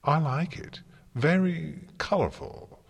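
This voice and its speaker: A man's voice: on the high side, slow, creaky, slightly rough, pitched above the performer's own voice, exaggerated voice. A man voicing a mad, gleefully malicious chaos creature in a storybook, theatrical, taunting and crooning. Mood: mock courtly. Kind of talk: dramatic storybook character reading.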